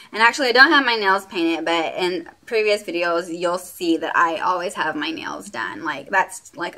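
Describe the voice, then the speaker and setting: A woman's voice: on the low side, sharp tone. A woman in her late twenties from Puerto Rico, raised in Texas, chatting casually to camera at home in a rambling way with lots of fillers. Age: late 20s. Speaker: beauty vlogger. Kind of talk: casual get-to-know-me vlog.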